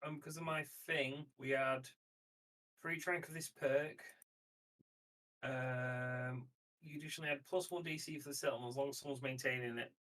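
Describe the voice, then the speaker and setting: A man's voice: medium pitch, fairly even intonation, normal speed, bright, forceful tone. A man chatting casually as a player at a tabletop game, with a crude, joking manner.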